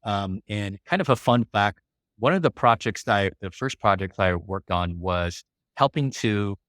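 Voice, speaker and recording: An American man in his forties guests on a podcast and walks through his life story. His voice sits low and he rambles along with plenty of fillers. The sound is close and dry. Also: expressive, unhurried, fairly bright tone, dry recording. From California. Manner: rambling, many fillers and laughs. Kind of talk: casual interview storytelling.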